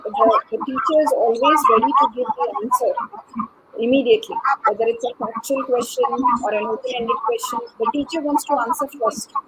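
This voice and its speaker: A woman educator answering as a panelist, explaining in earnest, measured phrases. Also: a little high, fairly bright tone. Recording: noisy online call with clicks.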